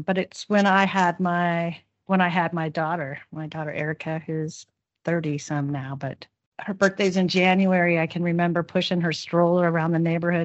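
A woman's voice: low voice, no room sound. A middle-aged woman, a podcast guest, sharing personal anecdotes in an earnest, rambling way. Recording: dry, dull podcast audio.

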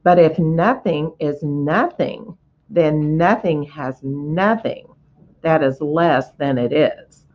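A woman's voice: sits low, takes it slowly, dull audio. A woman voicing a lonely, earnest young male pig in a storybook reading. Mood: pleading.

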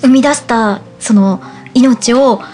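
A woman's voice: a little high, slow pace, dark tone, faint music behind. A woman, a voice actress guest, talking politely and agreeing attentively. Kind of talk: casual chat.

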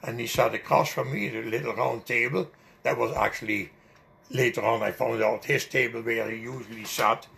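Gravelly older man telling a memory. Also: mid-range voice, fairly even intonation, moderate pace, sharp tone. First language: not English. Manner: rambling, vivid recollection with fillers. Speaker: interviewee. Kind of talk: oral history storytelling.

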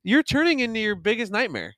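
A man's voice: sits high, huge pitch swings, fast, sharp tone, no room sound. A young man co-hosting a casual podcast, rambling with lots of fillers, laughing and swearing. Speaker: podcast co-host. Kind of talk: casual chat.

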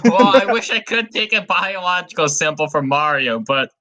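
A man's voice: sits high, lively intonation, moderate pace, sharp tone. A man co-hosting a comedy podcast, speaking in a dry, mock-earnest way. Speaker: podcast co-host. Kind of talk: improvised comedy banter.

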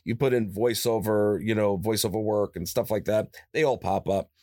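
A man chatting casually in an interview as a voice actor, telling stories in a rambling, animated way and often repeating himself. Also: normal speed, no room sound. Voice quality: higher-pitched natural voice. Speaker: voice actor guest. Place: his own studio. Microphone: studio microphone, close.

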